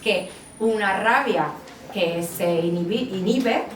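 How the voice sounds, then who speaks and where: A woman's voice: medium pitch, takes it slowly. Italian-accented woman in an echoey room. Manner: hesitant, many fillers and restarts. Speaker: psychologist and author. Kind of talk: book presentation talk. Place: bookstore event space. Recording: roomy, distant mic with background noise.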